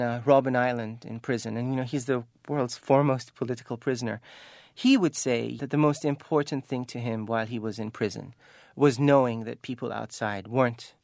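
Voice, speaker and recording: A man's voice: mid-range voice, darkish tone, no echo, clean studio sound. An Iranian-American man, an author, speaking earnestly and passionately in an interview, often restarting and saying "you know.". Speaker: author and guest. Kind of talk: impassioned interview talk.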